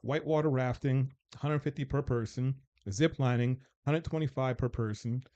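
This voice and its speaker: A man's voice: medium pitch, moderate pace, warm tone, muffled recording. Joking man in his forties hosting. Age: 40s. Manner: joking, teasing, loose and rambling. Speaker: host. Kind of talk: casual panel talk show.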